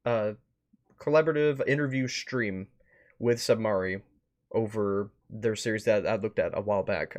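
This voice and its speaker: A man hosting a livestream in a casual chatty style, rambling a bit as he thinks out loud. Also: medium pitch. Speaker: streamer and host. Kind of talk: casual livestream wrap-up chat.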